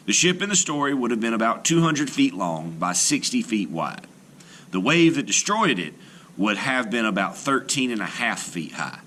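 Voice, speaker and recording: Bright, lively male storyteller. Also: lowish voice, slight background noise. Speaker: storyteller and teacher. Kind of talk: scripted read-aloud narration.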